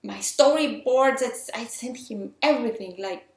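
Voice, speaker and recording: A woman's voice: fairly high pitch, a little slow. Chatty Mexican-accented woman in a roomy space. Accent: Mexican, with some French influence. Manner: earnest, chatty and enthusiastic. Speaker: independent filmmaker. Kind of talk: casual talk giving filmmaking tips. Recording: roomy echo.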